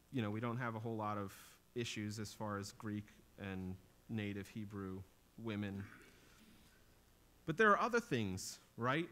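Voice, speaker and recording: A man's voice: mid-range voice, a bit bright. A man in his thirties preaching a sermon in a small church, explaining scripture in an earnest teaching tone that lifts for emphasis. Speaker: pastor. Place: small church stage with drum kit.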